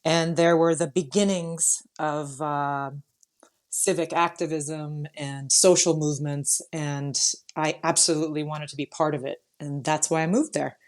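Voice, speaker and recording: An American woman guest speaking earnestly and thoughtfully in an interview, pausing with fillers. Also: deep voice, fairly even intonation. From United States. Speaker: guest, lawyer and founder. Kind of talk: casual interview answers.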